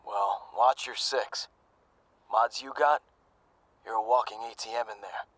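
Weary, wary cyborg soldier. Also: male voice, on the low side, steady tone, measured pace, fairly bright tone, a little hiss or hum, rough recording. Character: failing, loyal cyborg soldier comrade, wary and resigned. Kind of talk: audio drama acting.

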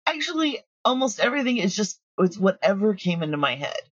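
A woman talking casually in an interview as a writer and creator, telling her stories in loose, animated, broken sentences. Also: mid-range voice, fairly quick, slightly rough, no echo, muffled recording. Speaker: audio drama creator and writer.

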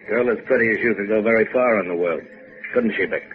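An actor playing a menacing criminal captain, patronizing and mock-gentle, in a 1950s radio drama. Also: male voice, fairly low pitch, fast talker, natural voice. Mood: mock-gentle menace. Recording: tinny band-limited 1950s radio recording.

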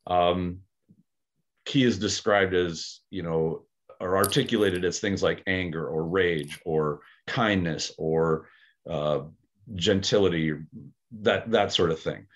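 A man's voice: sits low, slow. A man talking through ideas on a podcast, thoughtful and hesitant, with many fillers and restarts. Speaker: aikido practitioner, podcast co-host. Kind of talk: casual podcast discussion.

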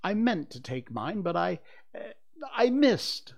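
Man acting a pompous, blustering father, swooping pitch. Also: big pitch swings, takes it slowly, gravelly, heavily acted. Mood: sheepish, entreating. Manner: pompous, indignant, self-justifying. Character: pompous, blustering, cowardly father of young children.

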